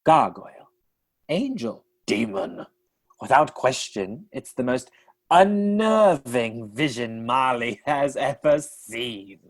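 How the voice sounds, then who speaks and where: Wry, slow storyteller voice. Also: mid-range voice, animated. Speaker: narrator. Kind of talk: comic radio play narration.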